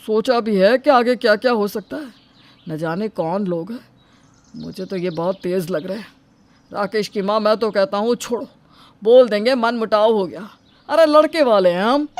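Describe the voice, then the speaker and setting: A woman's voice: medium pitch, moderate pace, a little mellow, close to an everyday voice. A woman playing an indignant, suspicious parent of a groom, exclaiming and scolding in a story drama.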